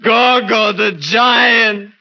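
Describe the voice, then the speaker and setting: An actor playing a tormented murderer, a former midget grown into a giant, in intense, melodramatic 1940s radio horror style. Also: male voice, very high-pitched, fairly even intonation, drawn-out words, exaggerated voice. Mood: hysterical, bitter. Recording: dull 1940s radio recording, faint music.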